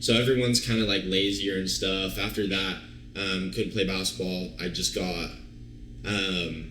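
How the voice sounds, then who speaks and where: A man of twenty-two telling his story as an interview guest, relaxed and rambling with lots of fillers and restarts. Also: deep voice, unhurried, a little hiss or hum. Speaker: guest, online fitness coach. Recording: roomy echo.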